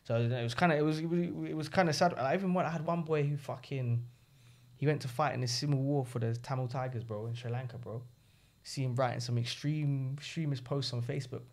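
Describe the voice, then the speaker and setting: A man's voice: mid-range voice, fast. A young man, a podcast guest telling stories in casual chat and acting out the people he quotes. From England. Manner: animated storyteller, acts out quoted voices.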